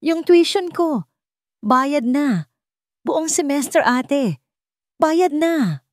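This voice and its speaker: A woman with a Filipino accent voicing an excited, grateful young student, a gushing younger brother in an audio story. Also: high-pitched, extremely slow, no echo, studio quality, pitched above the performer's own voice, full character voice. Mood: overjoyed, excited. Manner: exclaiming, gushing with joy.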